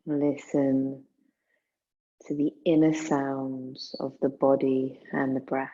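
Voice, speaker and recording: Very low, slow-speaking woman guiding meditation. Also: little pitch movement, warm tone, highs cut off. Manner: soothing, unhurried, with long pauses. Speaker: yoga nidra meditation guide. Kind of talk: guided meditation.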